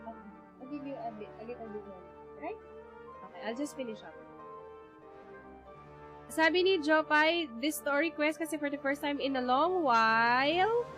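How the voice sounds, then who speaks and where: A woman's voice: sits high, moderate pace, long pauses, over music. A young woman with a Filipino accent streaming a game, chatting in a rambling way with many fillers. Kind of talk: live gameplay commentary.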